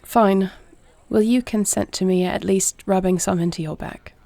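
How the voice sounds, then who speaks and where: A woman playing a duty-bound warrior queen and tender wife in an intimate audio roleplay, speaking in a measured, earnest, formal way. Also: medium pitch, fairly even intonation, creaky, dark tone, dry recording, close to an everyday voice. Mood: caring, firm. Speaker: voice actor.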